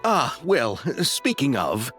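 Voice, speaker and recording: An actor playing a polite, eager, slightly anxious film maker in a video game, courteous and hesitant. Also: male voice, medium pitch, wildly swooping pitch, slow, a bit bright, faint music behind, heavily acted. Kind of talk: English video game voice acting.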